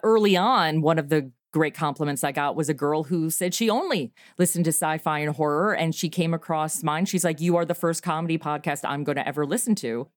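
A woman's voice: low voice, no room sound. Middle-aged woman, dry close sound. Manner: animated, laughs often, quick asides. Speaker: podcast creator and guest. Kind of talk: casual interview chat.

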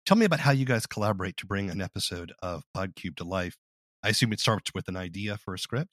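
A man interviewing guests in a conversational, measured and inquisitive manner. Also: low-pitched, expressive, fairly bright tone, a little hiss or hum.

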